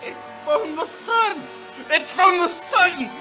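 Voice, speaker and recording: A man playing an excitable military codebreaker in a radio drama. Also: very high voice, slow pace, music in the background. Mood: stunned, manic. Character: excitable military codebreaker overwhelmed by his discovery.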